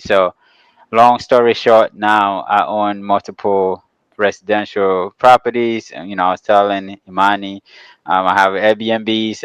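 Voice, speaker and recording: A man from Ghana speaks as an interview guest in a fairly low, clean and resonant voice. He explains things casually and haltingly. The recording is close and dry. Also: fairly bright tone, clean tone, dry recording, low-quality recording. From Ghana, later the Bronx, New York. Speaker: guest, real estate developer.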